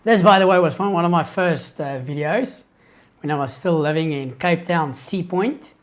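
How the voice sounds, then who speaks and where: A South African man whose first language is Afrikaans, earnestly answering viewer questions in a live-stream Q&A, halting and restarting as he thinks. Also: fairly high pitch.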